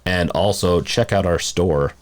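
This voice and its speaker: A man hosting a podcast in a casual, jokey, animated chat style. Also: low voice, a little slow. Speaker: podcast host.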